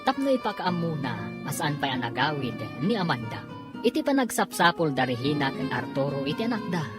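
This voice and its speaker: A woman narrator announcing and telling a radio drama in a measured, drawn-out, theatrical scripted style. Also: low-pitched, big pitch swings. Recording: prominent music bed under the voice. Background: music.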